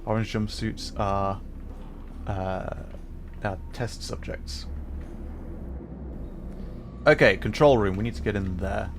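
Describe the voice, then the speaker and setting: Low-voiced man doing casual game commentary. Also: slow pace, pauses often, background noise. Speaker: livestreamer playing a video game.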